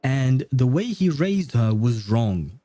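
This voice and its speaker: Mellow, very dark-voiced man streaming casually. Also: mid-range voice, very animated, takes it slowly, dry recording, faint music behind. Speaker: game livestreamer. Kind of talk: casual livestream commentary while playing a game.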